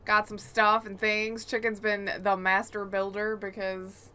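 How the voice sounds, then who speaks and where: A woman co-hosts a gaming podcast in a casual, conversational style. Her voice is bright and forward, sitting at an ordinary pitch for a woman. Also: flat delivery, measured pace, clear, resonant tone. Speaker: podcast co-host.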